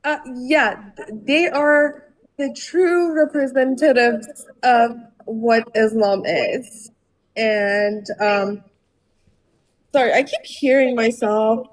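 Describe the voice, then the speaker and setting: A woman from Iran calls into a live debate podcast. She speaks slowly and hesitantly, filling her pauses with "um", in a slightly high voice. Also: rough recording. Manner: hesitant, many pauses and fillers. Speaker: caller. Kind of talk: call-in debate.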